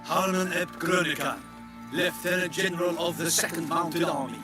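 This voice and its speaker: An actor playing an obsequious, folksy dwarf blacksmith in a video game, eager to please important customers. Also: male voice, fairly high pitch, fairly even intonation, moderate pace, lightly acted. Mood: fawning. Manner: servile, folksy, sighing. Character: fawning, obsequious dwarf blacksmith, grumbling in private. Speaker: blacksmith shopkeeper. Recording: game dialogue with music behind.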